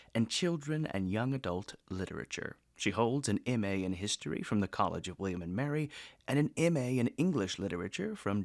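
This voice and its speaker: A man playing a genteel, erudite eighteenth-century gentleman who hosts a podcast with courtly, theatrical phrasing. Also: deep voice, dry recording, clean studio sound. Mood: formal, measured. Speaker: podcast host. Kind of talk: formal podcast introduction and interview.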